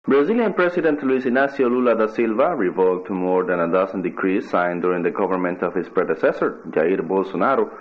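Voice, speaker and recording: A man with a fairly deep voice reads the news from a script. The sound is dull and lo-fi, as heard over shortwave radio. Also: fairly quick, few pauses. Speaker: radio newsreader.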